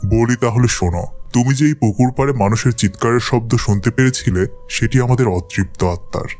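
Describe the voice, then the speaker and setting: A man voicing a cursed green demon, a trapped soul pleading dramatically in an animated folk tale. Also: medium pitch, fairly quick, faint music behind, natural voice. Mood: grave, imploring.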